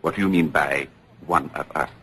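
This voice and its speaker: An actor playing a stern, accusing prosecutor in an old-time radio drama, questioning formally and with command. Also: male voice, very deep voice, moderate pace, a bit bright, breathy edge, full character voice. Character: stern, accusing male prosecutor at witch trial. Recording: noisy vintage radio broadcast.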